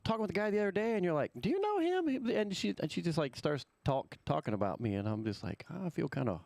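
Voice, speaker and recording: A man chats on his live radio show, loose and rambling, with lots of restarts. His voice is gravelly and very animated in pitch. The sound is dry and close. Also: fast, no echo. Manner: rambling, with many restarts and fillers. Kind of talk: casual chat. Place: radio studio.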